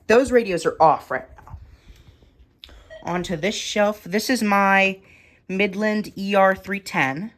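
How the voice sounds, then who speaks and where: A woman's voice: fairly low pitch, background noise. A woman giving a casual, matter-of-fact show-and-tell of a hobby collection in short, clipped phrases, recorded indoors at home.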